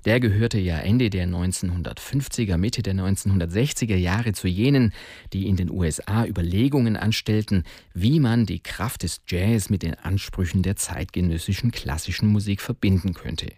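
A man presenting a music programme on the radio in a scripted, measured and articulate way. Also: deep voice, darkish tone, slightly rough, no room sound, clean studio sound.

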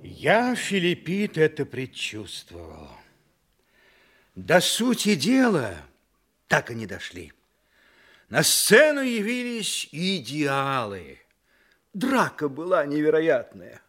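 A man's voice: a little high, huge pitch swings, slow pace, long pauses, fairly bright tone, heavily acted. An actor playing a weary male judge who recounts absurd events with wry, emphatic storytelling in an audio drama. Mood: resigned, wry. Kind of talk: theatrical audio drama monologue.

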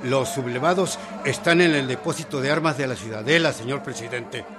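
An actor playing a dutiful general in a radio drama, giving a formal military report. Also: male voice, medium pitch, fairly quick, natural voice. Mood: dutiful concern. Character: male general reporting dutifully to his president. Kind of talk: historical radio drama acting.

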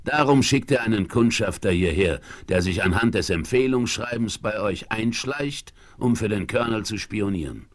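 An actor playing a shrewd, calm frontiersman in charge, deliberate and commanding, in a German audio drama. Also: on the low side, natural voice. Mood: calm, cautious. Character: shrewd, calm frontiersman leader, cautious and decisive.

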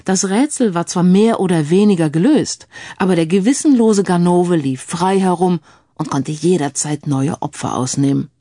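A woman with a slightly low voice narrates a detective story. Her delivery is scripted audiobook reading. The sound is very dry, without room echo. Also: normal speed, mellow.

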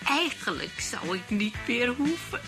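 A woman's voice: fairly high pitch, big pitch swings, a little slow, fairly bright tone, soft background music, exaggerated voice. An older woman acting in a radio play as a dry, shrewd old companion with a matter-of-fact, motherly way of talking. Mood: amused, conspiratorial.

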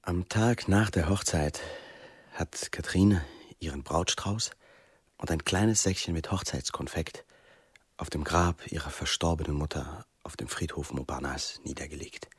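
A man's voice: very deep voice, measured pace, husky, no room sound, full character voice. An actor playing a sensitive, brooding middle-aged man in a radio play. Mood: confiding, melancholy. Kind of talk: radio play acting and monologue.

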